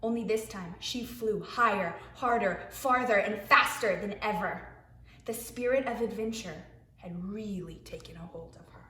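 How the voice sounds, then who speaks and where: A woman, a preschool teacher, reading a picture book aloud to young children in an expressive way, with hushed asides. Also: mid-range voice, moderate pace, slight background noise. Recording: echoey room.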